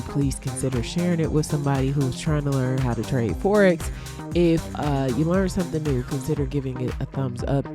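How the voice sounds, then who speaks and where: A woman's voice: low-pitched, dark tone. A congested woman teaching forex trading, walking through a chart casually and thinking aloud with ums and restarts.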